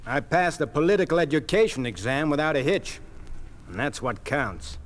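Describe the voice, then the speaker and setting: An actor playing a smug, insolent young medical intern in an old radio drama, glib and brash. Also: male voice, on the high side, lively intonation, moderate pace, slight background noise, natural voice. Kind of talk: 1950s radio drama acting. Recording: old 1950s radio recording.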